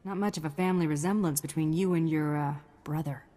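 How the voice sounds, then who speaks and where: An actress playing a cool, wry bounty hunter in a video game, with a deadpan, sardonic delivery. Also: female voice, low-pitched, fast, soft background music, lightly acted.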